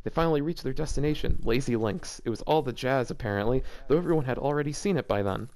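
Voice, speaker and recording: A man's voice: medium pitch, quick pace, darkish tone, poor audio. A man hosting a casual read-aloud of fan fiction, breaking it up with incredulous, joking commentary. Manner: incredulous asides breaking up the reading.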